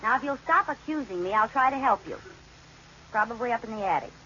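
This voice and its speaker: A wisecracking wife in an old radio sitcom, teasing and dry, noisy scratchy recording. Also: female voice, a little high, animated, fast, bright, forceful tone, lo-fi sound. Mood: placating, sarcastic. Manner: dry, teasing comic delivery. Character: wisecracking, playfully sarcastic suburban housewife. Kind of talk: 1940s radio sitcom acting.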